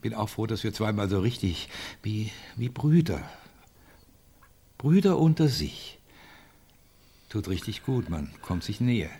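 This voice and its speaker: A man's voice: lowish voice, sing-song, measured pace, pauses often, mellow, breathy edge, heavily acted. An actor playing a chummy, somewhat prurient older man who muses and justifies himself in a German radio drama. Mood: chummy, musing.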